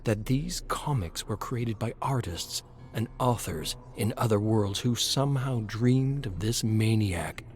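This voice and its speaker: A man reading scripted story narration in a measured, deliberate way. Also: fairly low pitch, lively intonation, a little mellow, soft background music.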